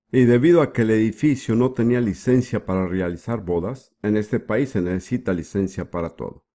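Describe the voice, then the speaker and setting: Low, dark-voiced man preaching. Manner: measured preaching delivery with rhetorical questions. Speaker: voice-over dubbing a preacher. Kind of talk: dubbed sermon.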